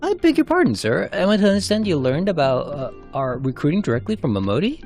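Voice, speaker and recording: A man voicing a formal, officious recruiting clerk in a narrated game cutscene, speaking politely and haltingly. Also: on the high side, very animated, darkish tone, soft background music.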